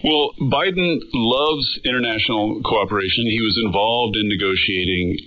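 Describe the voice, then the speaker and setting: Guy journalist chatting politics, lowish voice, wry, muffled shortwave radio. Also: normal speed, a little hiss or hum. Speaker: journalist, interview guest. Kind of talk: casual spoken political analysis.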